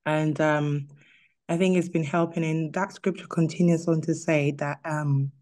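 A woman's voice: low-pitched, fairly even intonation, a little slow, a little mellow. A woman speaking casually and personally about faith in an online group, sometimes searching for her words. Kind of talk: personal Bible reflection, casual.

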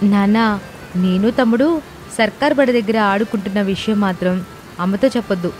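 A woman voicing a sweet, obedient young village daughter with a soft-hearted, pleading manner. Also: medium pitch, normal speed, a little hiss or hum, close to an everyday voice. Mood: complaining, pleading. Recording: music and rain sound effects behind.

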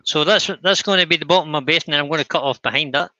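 A man's voice: a little high, very fast, pushed and bright, no echo, dull audio. A man hosting a live woodturning demonstration in casual, chatty style. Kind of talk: casual chat while demonstrating.

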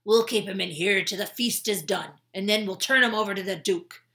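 Brief: gruff medieval guards, loud and threatening, played by a woman storyteller. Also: mid-range voice, moderate pace. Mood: menacing. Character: rough male castle guards, gruff and menacing.

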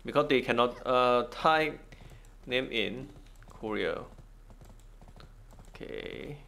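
A man giving casual game walkthrough commentary, thinking aloud in halting, non-native English. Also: mid-range voice, vocal fry, pushed and bright, a little hiss or hum. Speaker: gaming video host.

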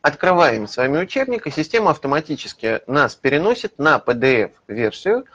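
A man's voice: fairly high pitch, very animated, a little fast, fairly bright tone. Man giving a hesitant webinar walkthrough. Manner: explanatory, many hesitation fillers. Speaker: publisher's lead methodologist. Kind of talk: webinar walkthrough of a digital library. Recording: slightly dull lo-fi webinar audio.

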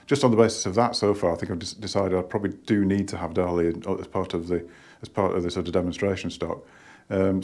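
Hoarse middle-aged man, rambling review. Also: sits low, a little mellow. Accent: northern English. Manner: rambling, hesitant, many restarts and ums. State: hoarse from a cold. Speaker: hi-fi shop owner reviewing speakers. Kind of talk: casual product review. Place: living room at home, indoors.

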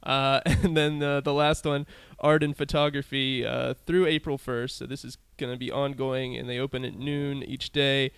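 A man's voice: medium pitch, little pitch movement, normal speed, gravelly, few pauses, fairly bright tone, clear, resonant tone, dry recording. Laughing male podcast host. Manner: laughs often, reads listings with fillers. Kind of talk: casual interview and event listings.

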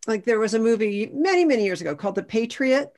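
A woman's voice: on the high side, animated, quick pace, a little mellow. A middle-aged American woman, a historian explaining things in a panel discussion on a video call. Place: indoors at home, video call.

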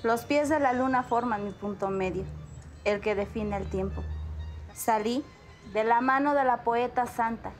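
A woman reciting a poem in a slow, solemn, declamatory way. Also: fairly high pitch, slight background noise. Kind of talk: poetry recitation.

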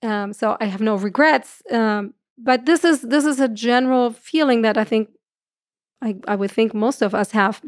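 Earnest German-accented woman, bright voice. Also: a little high, moderate pace, no room sound, studio quality. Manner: earnest, gestures a lot, laughs often. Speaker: guest astrophysicist. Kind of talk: podcast conversation. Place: room with curtains. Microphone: studio mic on boom arm.

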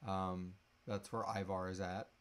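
A man in his thirties with a fairly low voice hosts a relaxed live Q&A. He speaks in a halting way, letting thoughts trail off before picking them up again. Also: smooth. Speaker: host answering audience questions. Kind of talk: casual live Q&A chat. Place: small indoor room.

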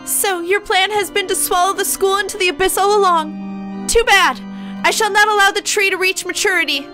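A very high, grandiose young male anime hero acted out by a woman, a pigeon fallen angel from a visual novel. He declaims with anguish and urgency over game music. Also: fairly even intonation, moderate pace. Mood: defiant. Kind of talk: visual novel character voicing.

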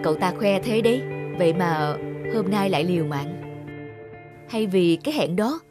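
A woman's voice: on the low side, lively intonation, moderate pace, over loud music, natural voice. A woman playing a worried, loyal male film actor in a cartoon, speaking with serious concern. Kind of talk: animated cartoon dialogue.

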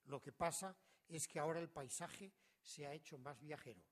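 A middle-aged man, reading a prepared academic lecture in a measured, formal way that often trails off quietly at the ends of phrases. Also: on the high side. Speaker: conference speaker.

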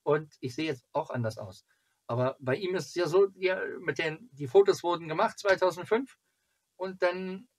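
Guy in his 40s rambling to his webcam, bit rough voiced, stops and starts a lot. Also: a little high. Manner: halting, rambling delivery. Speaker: fan club video host. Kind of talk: casual chat to camera. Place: small cluttered home room.

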